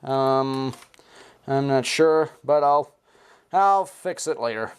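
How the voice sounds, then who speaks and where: A man giving an informal, excitable show-and-tell commentary, often interjecting as he goes. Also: mid-range voice, slow pace. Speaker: video host. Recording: clearly noisy home recording.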